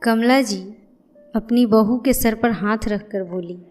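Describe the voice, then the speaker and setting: A woman's voice: a little high, takes it slowly, darkish tone, soft background music. A woman narrating a scripted moral family story.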